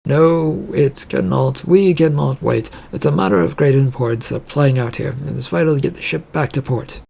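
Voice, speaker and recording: Husky, troubled shipping boss acted by a man. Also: fairly high pitch, moderate pace, dark tone. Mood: urgent, commanding. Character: troubled, insistent male shipping company boss.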